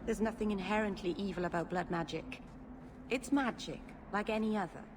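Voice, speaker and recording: A woman's voice: mid-range voice, a little fast, close to an everyday voice. A woman playing an earnest, stubborn young elf mage in a video game cutscene, speaking with open emotion. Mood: earnest, defensive. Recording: noisy game ambience behind.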